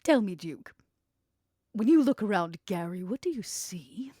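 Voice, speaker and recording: A woman playing a scheming, smooth-talking vampire crime boss in a tabletop roleplay, with a sly, theatrical, deliberate manner. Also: mid-range voice, lively intonation, dark tone, no room sound, clean studio sound. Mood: sly, persuasive.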